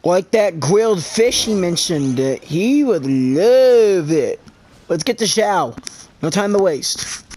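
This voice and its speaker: Slow, casual gamer commentary. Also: medium pitch, big pitch swings, darkish tone, a little hiss or hum.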